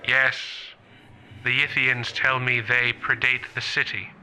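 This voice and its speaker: An earnest, conscientious professor, played by a man, measured and careful, with a very bright, forceful mid-range voice. It is radio drama acting with noticeable background noise. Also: medium pitch, flat delivery, low-quality recording. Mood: wary. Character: thoughtful, morally troubled human professor among aliens.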